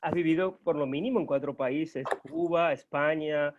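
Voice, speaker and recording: A man's voice: a little high, normal speed, slight background noise. A man hosting an interview, speaking formally with hesitant "ah" fillers. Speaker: interview host. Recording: dull lo-fi sound.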